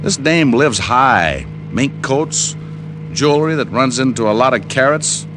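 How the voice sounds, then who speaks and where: A man's voice: medium pitch, lively intonation, measured pace, background noise, natural voice. An American man playing a wry, streetwise private detective in old-time radio style, narrating in clipped slang. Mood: earnest, knowing. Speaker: lead actor and narrator of a detective series. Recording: vintage radio recording with music.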